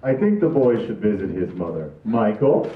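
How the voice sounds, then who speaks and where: A man's voice: fairly low pitch, sing-song, normal speed, a little hiss or hum. Man reading as shameless older dad, echoey room. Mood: casual authority. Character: randy, shameless older father. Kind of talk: amateur table read from script. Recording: tinny echoey distant camera audio.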